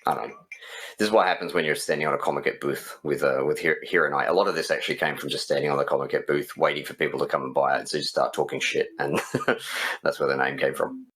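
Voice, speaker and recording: A man with an exceptionally deep voice chats casually in an interview. He speaks as a game developer and writer, in an informal conversational way. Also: steady tone, fast, fairly bright tone.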